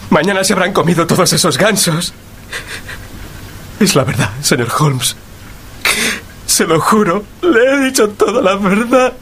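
An actor with a Castilian Spanish accent playing a frightened, remorseful thief in a radio drama, pleading and anguished. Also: male voice, high voice, a bit bright, a little hiss or hum, exaggerated voice.

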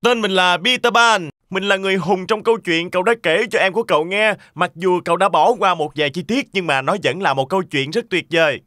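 A man's voice: sits high, fairly quick, few pauses, bright, forceful tone, dry recording, studio quality. An actor playing a cheerful, confident boy hero in a children's cartoon. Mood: friendly, proud.

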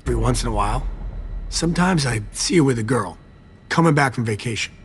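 A nervous, husky, servile vampire lackey. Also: male voice, mid-range voice, lively intonation, fast talker. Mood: eager, explaining. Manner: pleading, deferential, evasive. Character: nervous, servile young vampire garage attendant hiding a secret. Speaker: garage attendant. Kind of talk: video game cutscene acting.

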